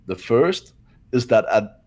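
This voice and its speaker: A man's voice: mid-range voice, sing-song, takes it slowly, darkish tone. A man voicing a haughty, cold young rich genius who makes accusing declarations in an amateur game dub. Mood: cool, explanatory.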